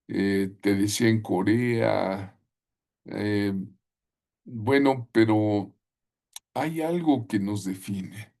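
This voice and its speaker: A slow, unhurried man in middle age gives a Bible lesson in a preaching style, his voice low and mellow. He leans on "eh" and asks "right?" as he goes. He calls on listeners at home to repeat phrases back. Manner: deliberate, with frequent "eh" and tag questions. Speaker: Bible school teacher.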